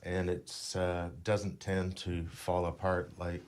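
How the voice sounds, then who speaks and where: A man's voice: deep voice, monotone, takes it slowly. A man explaining things casually as a guest on a radio show.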